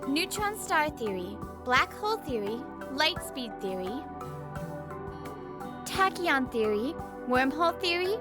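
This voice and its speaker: A woman voices a young female genius scientist in a visual novel dub. The voice is high and very expressive, poised and polite like a lecturer, with music clearly behind it. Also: slow, exaggerated voice. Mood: assured lecturing.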